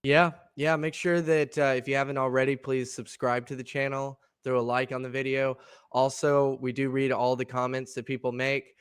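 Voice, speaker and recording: A young man co-hosting a laid-back podcast, his voice a little gravelly and nasal from allergy congestion. He speaks at a middling pitch, and the sound comes through smooth and clear in a dry, close room. He rambles easily, restarts sentences and laughs often. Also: level tone, normal speed, dry recording. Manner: easygoing, laughs often, many fillers and restarts. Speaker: podcast co-host. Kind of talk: casual chat.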